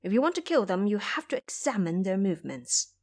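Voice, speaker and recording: A woman's voice: mid-range voice, very animated, fairly quick, darkish tone. A woman playing a cunning, calculating junior male monk who speaks persuasively in an audio drama.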